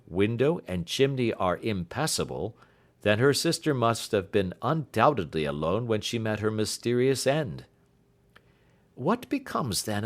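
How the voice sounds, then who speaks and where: A man voicing a cool, keen detective in an audiobook, reasoning precisely and staying blandly unflappable. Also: fairly low pitch. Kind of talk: audiobook character acting.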